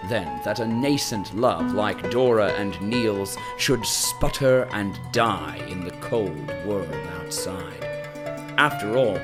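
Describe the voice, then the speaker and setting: A slow, measured man's voice of a pompous corporate announcer, played by a man, speaking with formal self-assurance. Loud plucked guitar music plays under him throughout. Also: fairly low pitch.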